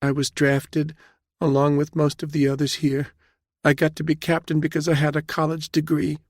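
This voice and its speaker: A man voicing a meek, frightened woman army captain in her mid-twenties with a New Jersey accent and a soft, trembling, hesitant delivery. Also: a little high, completely flat delivery, normal speed, dry recording, studio quality, pitched above the performer's own voice, natural voice. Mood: trembling, nervous. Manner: hesitant, deferential.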